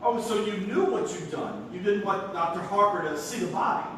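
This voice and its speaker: An actor playing a flustered, incredulous nephew in a live stage comedy, stammering and exasperated. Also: male voice, high voice, moderate pace, a bit bright. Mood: exasperated, alarmed. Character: flustered, incredulous young nephew, theatre-critic type. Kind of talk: stage comedy radio-theatre acting. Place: live theatre, distant microphone. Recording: roomy, noisy stage recording from far off.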